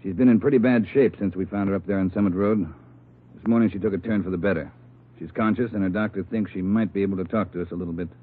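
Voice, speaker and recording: A man playing a gruff police boss giving brisk orders in a low voice. He comes through a tinny, faintly noisy old radio recording. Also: lightly acted. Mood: commanding. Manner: curt, authoritative. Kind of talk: 1940s radio police drama acting.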